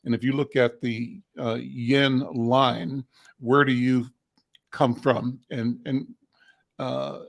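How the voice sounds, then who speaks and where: A middle-aged man hosting an interview, asking measured questions with frequent pauses and affirming listener sounds. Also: mid-range voice, level tone, gravelly. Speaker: interview host.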